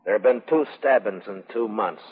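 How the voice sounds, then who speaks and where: An American man playing a stern, world-weary frontier marshal in a 1950s radio western, speaking tersely and gravely. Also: on the low side, lively intonation, normal speed. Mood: terse, businesslike. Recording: muffled, echoey vintage radio broadcast.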